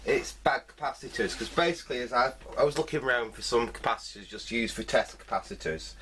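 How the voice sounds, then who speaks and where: A man's voice: medium pitch, brisk, sharp tone, slight background noise. A man giving a casual hands-on repair commentary, thinking aloud hesitantly in fragments. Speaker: host of a vintage electronics repair video.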